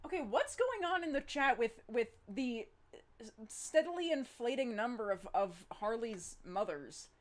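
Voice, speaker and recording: A woman with a fairly high voice talks off the cuff in a casual group chat. She rambles through her explanations, peppering them with "like". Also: sing-song, unhurried. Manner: rambling, frequent "like". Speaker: show creator on a cast Q&A.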